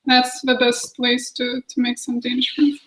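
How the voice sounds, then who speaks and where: A woman's voice: high voice, little pitch movement, moderate pace, fairly bright tone, rough recording. A woman in her twenties talking casually as an international student on a university webinar panel. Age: 20s. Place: small office meeting room.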